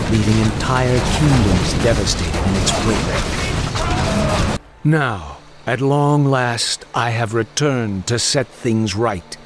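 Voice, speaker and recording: A commanding, remorseful prophet from a fantasy game. He speaks slowly and portentously in a lowish voice over loud, sweeping music. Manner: measured, portentous speech. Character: mysterious, commanding male prophet wizard, remorseful and grave. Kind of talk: dramatic video game cinematic acting.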